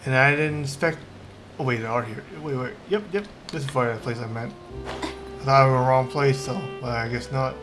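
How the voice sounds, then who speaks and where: A woman's voice: rumbling low, fairly quick, poor audio. A woman game streamer giving casual, offhand, rambling commentary as she plays. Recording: game music behind the voice.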